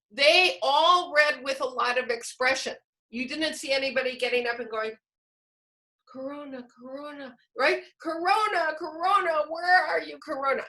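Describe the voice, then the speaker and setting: Bright, high-voiced woman presenting a teacher training. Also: rough recording. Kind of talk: informal instructional webinar presentation.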